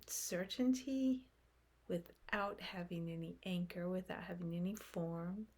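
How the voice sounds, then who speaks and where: A woman leading a guided meditation in a gentle, unhurried manner, speaking in short phrases with long pauses. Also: lowish voice.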